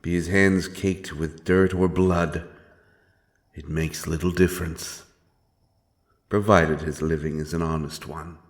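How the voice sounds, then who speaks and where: Man acting a deep, grim monster hunter. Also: level tone, slow pace, long pauses. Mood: stern, solemn. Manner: archaic, solemn, threatening speech. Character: grim, stern male monster hunter, menacing but honourable. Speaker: audio roleplay performer. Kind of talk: dark fantasy audio drama acting. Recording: music behind the voice.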